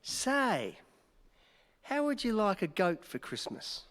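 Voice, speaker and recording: A man playing a brash, excitable New York newspaper reporter in an old-time style radio play. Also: high voice, slow, heavily acted. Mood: excited, tender. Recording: echoey hall, long reverb.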